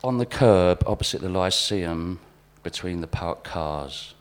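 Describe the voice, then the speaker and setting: A man giving a live reading of short overheard vignettes in a deadpan, observational storytelling manner. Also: sits low, a little slow, smooth. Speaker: spoken-word performer. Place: live literary event in a venue.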